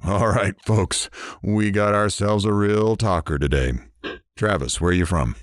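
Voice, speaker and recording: A man's voice: lowish voice, unhurried, dry recording, studio quality, lightly acted. An actor playing a brash, sarcastic morning radio DJ with irreverent showman banter in an audio drama. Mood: teasing. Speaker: radio DJ host.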